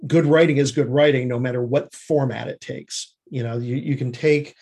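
A man's voice: mid-range voice, steady tone, moderate pace, warm tone, husky. A man speaking as an interview guest, explaining his writing work in a conversational way. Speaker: guest screenwriter and fiction writer.

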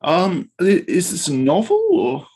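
A man's voice: high-pitched, huge pitch swings, a little slow, darkish tone, husky. A man who writes fiction, chatting casually as an interviewer and responding to his guest. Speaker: interviewer, novelist and short story writer.

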